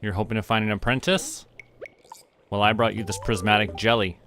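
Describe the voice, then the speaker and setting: A man from Canada giving casual, relaxed commentary as he streams a game, often talking to himself. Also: fairly low pitch, fairly quick. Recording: music behind the voice. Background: music.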